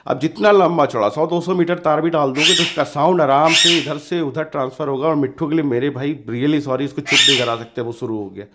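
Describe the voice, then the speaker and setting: Higher-pitched man giving a casual DIY tutorial. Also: a little fast, few pauses, very noisy. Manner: informal, colloquial, repeats himself for emphasis. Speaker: DIY electronics channel host. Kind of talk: casual hands-on tutorial narration.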